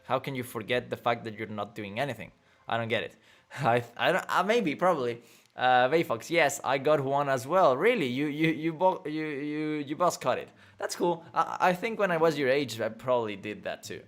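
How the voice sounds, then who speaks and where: A man's voice: medium pitch, moderate pace, fairly bright tone. A young man hosting a casual livestream, rambling loosely, laughing often and swearing as he answers chat. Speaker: livestream host reading chat.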